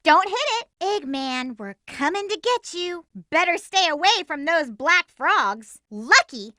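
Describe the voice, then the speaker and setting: A woman's voice: extremely high pitch, animated, measured pace, sharp tone, no echo, exaggerated voice. A woman voicing a spirited, lovestruck young girl hedgehog in a video game, feisty and bossy with a perky, emphatic delivery. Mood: chatty, determined. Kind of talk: video game character voice lines.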